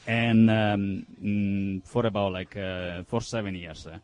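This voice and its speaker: A man from Milan with an Italian accent, a native Italian speaker answering interview questions haltingly as he searches for words. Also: fairly low pitch, steady tone, a little mellow. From Milan, northern Italy. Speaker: restaurateur, interview guest.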